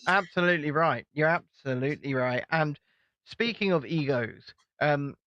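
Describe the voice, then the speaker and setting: A man co-hosting a casual commentary show, presenting in a brisk, upbeat way. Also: fairly high pitch, sharp tone, dry recording. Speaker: co-host. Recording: dull, dry lo-fi recording.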